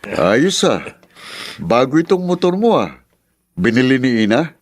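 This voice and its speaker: A man's voice: medium pitch, big pitch swings, measured pace, dry recording. A man with a Filipino accent acting a caring, frank younger brother and uncle in a Tagalog radio drama, with an earnest, familiar way of talking. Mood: teasing, curious.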